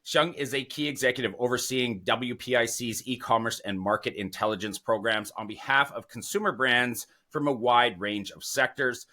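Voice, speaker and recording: Man, podcast host, bright voice, chatty, enthusiastic. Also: medium pitch, moderate pace, hardly any pauses. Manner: chatty, enthusiastic, tips and asides. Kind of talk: casual podcast interview.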